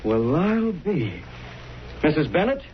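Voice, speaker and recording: An actor playing a brash, slangy, persistent newspaper reporter in old-time radio drama. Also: male voice, on the high side, very animated. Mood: excited, insistent. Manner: slangy, fast-talking persuader. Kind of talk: 1930s-40s radio acting. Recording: dull, noisy vintage radio recording.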